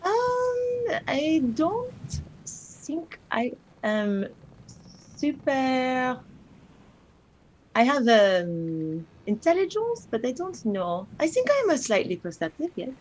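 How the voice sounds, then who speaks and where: A woman's voice: sits high, sing-song, slow, a little mellow, slightly rough, muffled recording. A woman chatting casually as a tabletop game player, hesitant and tentative. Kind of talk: casual role-play game chat.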